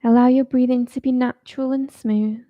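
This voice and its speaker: A woman teaching yoga nidra, leading a guided relaxation in a soft, soothing, informal way. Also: high voice, fairly even intonation, normal speed, no room sound, narrow, tinny audio.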